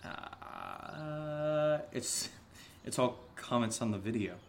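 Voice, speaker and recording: Horror podcast role: male actor as shaken young man, medium pitch, unhurried, halting delivery, dry sarcasm, slight noise behind. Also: animated, vocal fry. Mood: hesitant. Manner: halting, hesitant, sighing. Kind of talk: naturalistic horror audio drama acting.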